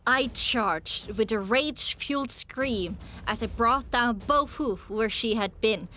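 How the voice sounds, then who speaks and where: A woman's voice: a little high, takes it slowly, bright, forceful tone, slight background noise. A woman reading dramatic first-person audiobook narration, with music playing underneath.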